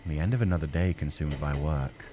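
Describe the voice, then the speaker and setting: A man's voice: rumbling low, fairly quick, noisy background, voice pushed down. A man voicing an exhausted, defeated office worker in a weary, subdued inner monologue from a visual novel game. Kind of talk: game narration read aloud.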